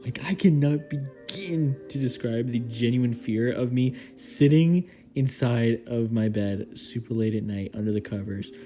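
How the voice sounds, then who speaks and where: A man's voice: mid-range voice, unhurried, few pauses, warm tone. A young man hosting a casual video essay as a horror fan, speaking informally and earnestly with some swearing. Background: clear background music.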